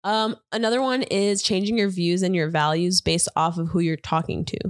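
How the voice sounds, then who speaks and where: A young woman co-hosting a casual podcast with her partner, animated and quick to laugh, whose first language was Spanish. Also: on the low side, no room sound, clean studio sound. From United States. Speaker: podcast co-host. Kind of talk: casual couple chat.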